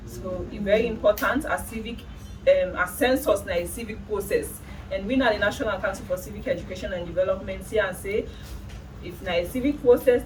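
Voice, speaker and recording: A woman's voice: medium pitch, recorded from a distance, rough recording. A woman in her thirties with a Sierra Leonean Krio accent, an official earnestly explaining in an office. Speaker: council official. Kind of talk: interview statement. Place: office, indoors.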